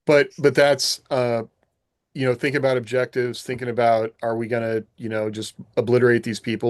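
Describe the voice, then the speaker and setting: A man's voice: mid-range voice, dry recording, clean studio sound. A man co-hosting a podcast in casual chat, musing aloud and putting questions to the others. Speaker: podcast co-host.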